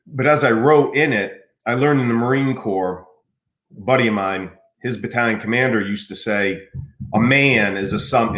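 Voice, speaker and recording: A man's voice: on the low side, a bit bright, roomy sound. A middle-aged American man hosting a podcast, talking earnestly in a casual interview with many restarts. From United States. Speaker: podcast host.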